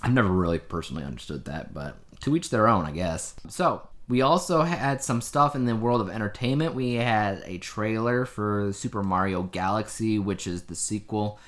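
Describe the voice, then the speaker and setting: A man's voice: on the low side, lively intonation, moderate pace, hardly any pauses, fairly bright tone. A man co-hosting a podcast, chatting casually in a rambling, opinionated way about the news. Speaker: podcast co-host.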